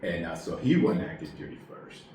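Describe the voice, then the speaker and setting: A man in his forties from Puerto Rico, an army veteran telling his story in a relaxed interview, halting and full of fillers. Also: sits low, heavy reverb, far from the mic, slight background noise. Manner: rambling, halting, many fillers.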